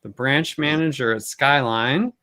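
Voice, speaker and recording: A man's voice: mid-range voice, unhurried, bright, forceful tone, no room sound. A man hosting a virtual game show live over a video call in a casual, off-the-cuff way.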